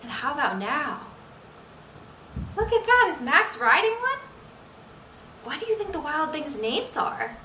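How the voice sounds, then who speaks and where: A young adult woman reading a picture book aloud to children, expressive and full of engaging questions. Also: high voice, measured pace, pauses often. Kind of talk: children's read-aloud storytelling. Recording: roomy echo, distant mic, faint noise.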